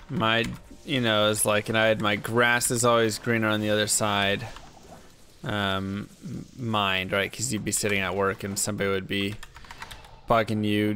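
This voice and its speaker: A man with a deep voice, chatting casually and in a laid-back, rambling way as he streams a game from a desk microphone. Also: bright, forceful tone, a little hiss or hum. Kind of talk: casual chat while gaming.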